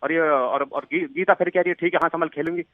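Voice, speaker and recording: Higher-pitched man, dull phone line. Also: steady tone, no echo. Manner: halting, repetitive, stumbling. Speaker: amateur wrestling commentator. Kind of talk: improvised sports commentary. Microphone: telephone.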